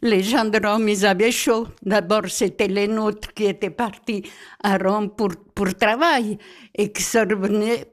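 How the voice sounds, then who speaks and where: A woman from central Italy, older, reminiscing in an interview in a halting, reflective way that repeats words. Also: medium pitch, fairly even intonation. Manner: reflective, halting, repeats words as she tells her stories. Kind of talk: reminiscing storytelling. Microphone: handheld mic held by the interviewer.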